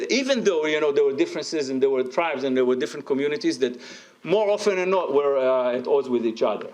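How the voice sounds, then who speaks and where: Man, panel speaker, slightly high voice, lots of uh and um, emphatic bursts. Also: darkish tone. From Israel. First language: Arabic. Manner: hesitant, many fillers, rising emphatic bursts. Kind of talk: academic panel talk.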